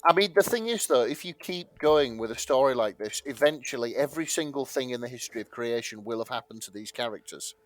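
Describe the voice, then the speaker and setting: A man's voice: medium pitch, lively intonation, a little fast, hardly any pauses, no room sound, low-quality recording. A man on a comedy podcast, bantering in an emphatic, incredulous and sweary way. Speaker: podcast panellist.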